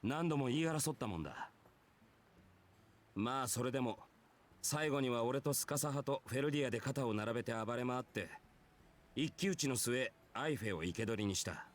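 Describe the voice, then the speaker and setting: An actor playing a brash, easygoing male warrior in a visual novel, telling his past in rough, casual, nonchalant speech. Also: mid-range voice, quick pace, natural voice. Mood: casual, boastful. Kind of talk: Japanese visual novel voice acting.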